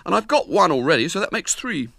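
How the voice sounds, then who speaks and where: A man voicing an indignant, boastful young boy who talks in rough, ungrammatical speech. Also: high-pitched, sing-song, fast, fairly bright tone, no room sound, studio quality, pitched above the performer's own voice, exaggerated voice. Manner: indignant, cheeky, common speech.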